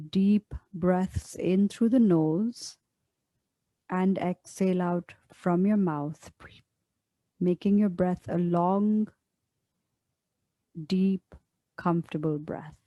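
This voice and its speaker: A woman leading a guided meditation as a teacher, speaking in a gentle, soothing, unhurried way with long pauses. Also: mid-range voice, no echo.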